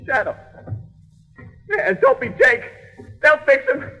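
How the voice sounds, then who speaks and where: A man's voice: very high voice, huge pitch swings, takes it slowly, gravelly, bright, forceful tone, soft background music, full character voice. A man acting a bitter, doomed young crook in an old radio drama, talking intensely in tough-guy slang. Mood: frantic. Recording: dull vintage radio recording, reverberant.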